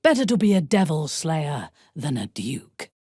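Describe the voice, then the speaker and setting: An actress playing a ruthless, haughty female drow commander in a video game, with a husky, breathy voice and a measured, imperious, menacing delivery. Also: low voice, big pitch swings, darkish tone, no echo, clean studio sound, heavily acted. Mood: proud, measured. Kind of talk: video game character voice acting.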